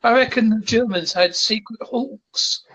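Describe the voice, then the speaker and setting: A man from the north of Scotland, a podcast guest making deadpan jokes in casual chat. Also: high-pitched, unhurried, dry recording, poor audio. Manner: jokey, deadpan tall tales.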